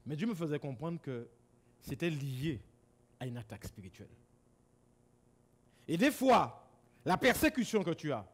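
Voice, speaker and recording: Animated preacher from Guadeloupe. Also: male voice. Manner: swings from loud exclamations to low asides, repeats words. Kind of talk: sermon. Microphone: camera-recorded.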